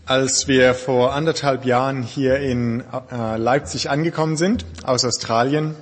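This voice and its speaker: A German man preaching a sermon in a thoughtful, conversational way, pausing often with hesitations. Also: mid-range voice, fairly even intonation, long reverb tail. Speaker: pastor.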